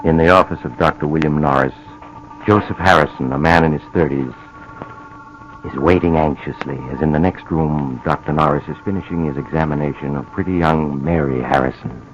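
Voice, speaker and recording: An actor playing a mysterious, sinister host who narrates a 1940s radio mystery in a theatrical, deliberate, teasing way. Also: male voice, extremely low pitch, lo-fi sound. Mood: ominous. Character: mysterious, sinister male storyteller welcoming listeners to chills. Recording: old radio broadcast with music. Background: music.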